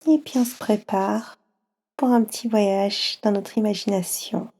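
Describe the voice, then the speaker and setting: A woman with a French accent guiding children through a slow yoga nidra relaxation, speaking softly and soothingly with long pauses. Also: mid-range voice, fairly even intonation, husky.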